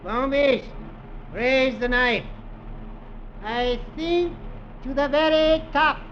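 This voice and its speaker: An actor playing a proud, sadistic French prison executioner in a theatrical, formal 1940s radio drama style. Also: male voice, extremely high pitch, slow. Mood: gloating, ceremonious. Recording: muffled, noisy old radio recording.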